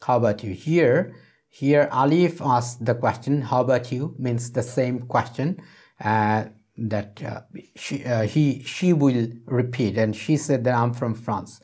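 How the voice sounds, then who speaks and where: A man's voice: mid-range voice, unhurried, darkish tone. Man teaching English. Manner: repeats phrases for emphasis. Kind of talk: explanatory spoken English lesson. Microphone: lapel microphone.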